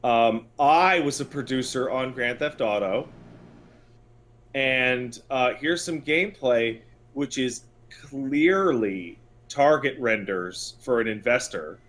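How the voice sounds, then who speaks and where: A man with a mid-pitched voice talks casually as a podcast co-host. He is blunt and sarcastic, airing his opinions on games with open exasperation. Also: takes it slowly, a bit bright. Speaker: podcast co-host and video game commentator.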